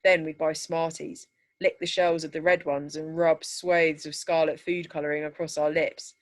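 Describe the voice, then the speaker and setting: A woman reads literary fiction aloud in a slightly low voice. Her narration is measured and deliberate, giving each descriptive phrase its space. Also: flat delivery. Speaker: teacher reading a story aloud. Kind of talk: scripted story narration.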